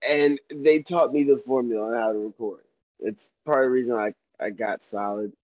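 A man's voice: mid-range voice, fairly even intonation, moderate pace, darkish tone, no room sound, poor audio. A young man from North Florida, a rapper guest chatting casually on a podcast with many fillers. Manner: laid-back, rambling, heavy fillers.

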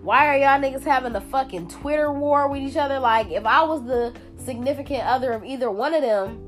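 A woman's voice: high-pitched, brisk, soft background music. A young woman with a congested voice from a cold, hosting a solo podcast in a blunt, profane, casual way. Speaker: podcast host.

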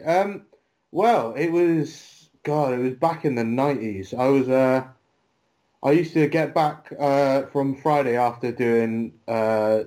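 Relaxed British man saying mate. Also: medium pitch. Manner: relaxed, rambling, says mate. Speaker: professional wrestler guest. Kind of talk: casual interview answers.

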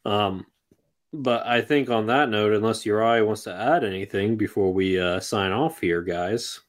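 A man co-hosting a casual sports podcast, arguing his points quickly and tripping over words now and then. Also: lowish voice. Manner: opinionated, talks quickly, stumbles over words. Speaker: podcast co-host.

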